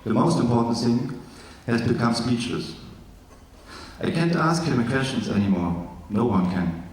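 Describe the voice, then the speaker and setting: A man from East Germany with a German accent, reading from his memoir in careful, slightly halting English with jokey asides. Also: medium pitch, darkish tone. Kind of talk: live literary reading. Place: large event hall. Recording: echoey hall from far off.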